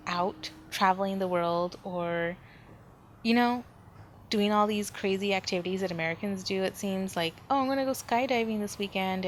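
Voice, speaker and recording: A woman hosting a solo podcast, talking casually and informally, rambling as she thinks aloud. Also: medium pitch. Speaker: podcast host. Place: indoors at home, near street noise. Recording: background noise, ambient room sound.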